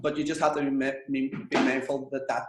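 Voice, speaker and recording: A man's voice: medium pitch, flat delivery, fast, roomy sound, slight background noise. A man with a Belfast accent coaching a business group in a frank, chatty, sweary way. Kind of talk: casual coaching session with students.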